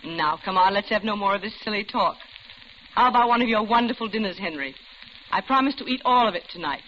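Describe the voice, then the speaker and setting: An actress playing a lonely, polite middle-aged widow who talks on hesitantly, in 1940s radio drama style. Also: female voice, medium pitch, animated, quick pace, lightly acted. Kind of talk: 1940s radio acting, first-person narration and dialogue. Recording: muffled, very noisy old radio recording.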